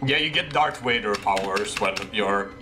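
A young man in a headset commenting casually on a game he is playing, excitable and slangy, laughing often. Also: lowish voice, normal speed, music in the background. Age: young adult. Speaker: gaming streamer. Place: small room at a desk.

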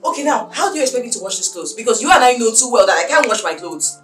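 A spoiled young Nigerian madam in a screen drama. Her voice is high and bright, swooping widely in pitch. She is haughty, short-tempered and quick to insult. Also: sing-song, roomy sound. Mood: irritated, demanding. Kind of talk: melodramatic screen drama acting.

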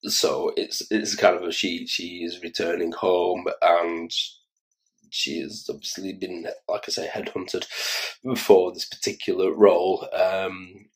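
A tired Irish man in his forties with a Dublin accent, talking casually and haltingly to his phone camera in a small room. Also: low voice, level tone, poor audio. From Ireland. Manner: rambling, halting, self-mocking. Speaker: children's entertainer and vlogger. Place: small room indoors, in an office chair. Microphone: phone camera held close.

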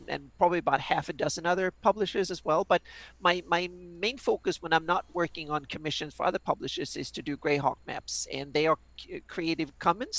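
A woman's voice: low-pitched, fairly bright tone, dry recording, rough recording. A woman in her fifties from Sweden with a Swedish accent, chatting on a video call as a guest player and repeating words as she restarts. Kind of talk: casual chat on a game stream.

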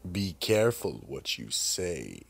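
A man's voice: sits low, big pitch swings, slow pace, creaky, soft background music. A man narrating a scripted horror radio drama and announcing its acts.

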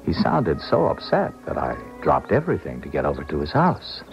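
An actor playing a sober, skeptical university proctor in a 1970s radio drama, measured and matter-of-fact. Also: male voice, extremely low pitch, huge pitch swings, creaky, music in the background, heavily acted. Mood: sober, emphatic. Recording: muffled 1970s radio recording.

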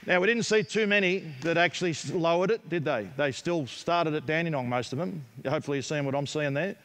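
A middle-aged man with an Australian accent, coaching players in a gym with brisk, direct instructions. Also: a little high, fairly bright tone. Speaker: basketball coach. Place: large indoor basketball gym. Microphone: headset mic.

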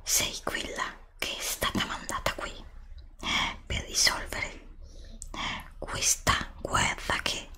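A woman playing a solemn, pleading princess of a threatened castle kingdom in a hushed ASMR roleplay, dramatic and close to the microphone. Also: takes it slowly, long pauses. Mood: solemn, grave. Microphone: close desktop USB microphone.

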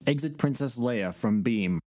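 A man's voice: medium pitch, animated, a little slow, mellow, dry recording. Dry-sounding man announcing stage directions. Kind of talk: scripted stage-direction reading.

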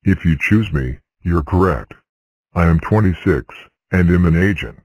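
Very deep male cartoon voice, flat read, dry. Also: a little slow, no echo. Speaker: voice of number characters. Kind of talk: fan cartoon voice-over.

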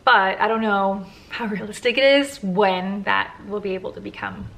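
A woman's voice: mid-range voice, moderate pace, gravelly, fairly bright tone, low-quality recording. Thirties woman doing a q&a vlog from her living room, chatty, lots of likes. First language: English. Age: 30s. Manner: candid, chatty, frequent "like" fillers. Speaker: vlogger answering viewer questions. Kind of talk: casual Q&A chat to camera. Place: living room at home, indoors. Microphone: camera at close range.

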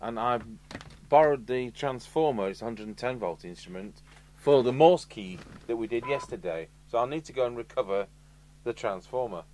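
A man's voice: medium pitch, normal speed. A man giving dry, understated, step-by-step commentary as he does hands-on repair work at a workbench. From United Kingdom. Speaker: host, radio repair technician. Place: indoor electronics workbench. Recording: clear background noise with electrical hum. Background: steady hum and noise.

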